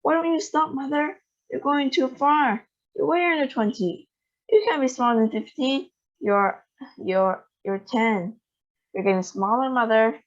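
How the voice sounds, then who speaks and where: A woman voicing a frantic middle-aged mother who wails in shrill alarm. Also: lively intonation, measured pace, dull audio.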